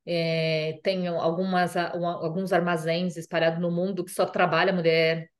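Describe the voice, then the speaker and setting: A woman's voice: on the low side, level tone, normal speed. A woman from Brazil with a Brazilian Portuguese accent, chatting casually as an interview guest, full of fillers and repeated phrases.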